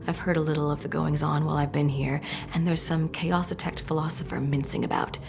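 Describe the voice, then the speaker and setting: Low, creaky, conspiratorial woman played by an actress. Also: darkish tone. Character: Sybil, wary conspiratorial woman hiding in shadows. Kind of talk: fantasy audio drama acting.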